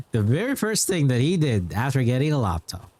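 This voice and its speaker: A man talks to his livestream chat in a casual, rambling way, circling back over his own phrases. His mid-pitched voice is recorded dry and close to the microphone. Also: darkish tone, dry recording. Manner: rambling, repeats himself. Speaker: game livestreamer.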